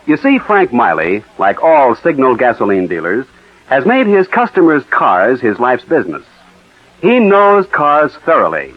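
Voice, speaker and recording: An American man announcing for a sponsor on 1940s radio, with a polished, emphatic sales delivery. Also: medium pitch. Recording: dull, muffled 1940s radio recording.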